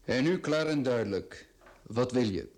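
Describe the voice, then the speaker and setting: A man plays a Flemish business tycoon in a radio play, ruthless and self-made. He talks down to people with scornful authority, mocking and giving orders in a mid-pitched voice. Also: lively intonation, moderate pace, a little mellow, natural voice. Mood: smug, mocking. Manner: mocking, commanding, rhetorical.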